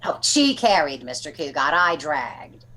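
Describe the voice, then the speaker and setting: A woman playing a blunt, deadpan but loyal housemaid in a 1940s-style radio comedy. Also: sits low, unhurried, heavily acted. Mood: wry.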